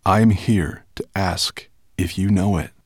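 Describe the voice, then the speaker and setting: Man doing a calm, noble dragon. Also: low voice, husky, dry recording, studio quality. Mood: earnest. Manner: measured, earnest.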